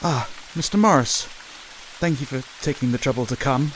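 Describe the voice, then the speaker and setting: An actor playing a polite, restrained, bereaved lord in a period audio drama, courteous and hesitant. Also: male voice, mid-range voice, moderate pace, a little hiss or hum, lightly acted, poor audio. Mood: subdued grief. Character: polite, reserved, bereaved young English lord.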